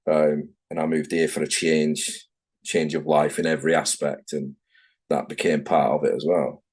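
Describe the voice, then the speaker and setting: Man, Manchester accent, middle-aged, very deep voice, rambling frank chat, video call. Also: flat delivery, moderate pace, a little mellow, slightly rough. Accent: Manchester English. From England, Manchester. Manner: rambling, frank, self-correcting. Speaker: tattoo artist guest. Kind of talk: casual interview chat.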